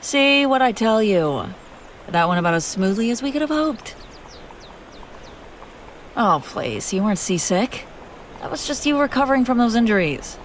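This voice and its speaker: A woman's voice: medium pitch, slight background noise, lightly acted. A woman playing a haughty, wry young princess on the run in an acted fantasy audio roleplay, bantering with exasperated sarcasm. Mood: teasing, exasperated. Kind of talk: acted audio roleplay, one-sided dialogue.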